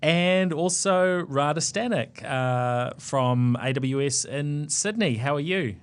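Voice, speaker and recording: A man's voice: fairly high pitch, slow, smooth. Man, New Zealand accent, podcast host, casual chat, filler-heavy, resonant voice. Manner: loose, many fillers and restarts.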